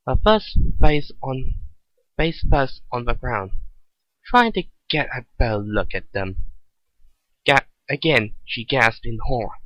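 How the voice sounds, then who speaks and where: A man reads fiction aloud as an amateur narrator. His delivery is halting, with stumbles and repeated words. The sound is dry and dull, as if from a cheap microphone up close. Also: medium pitch, no echo. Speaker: amateur reader of a fan story. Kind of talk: dramatic reading of written fiction.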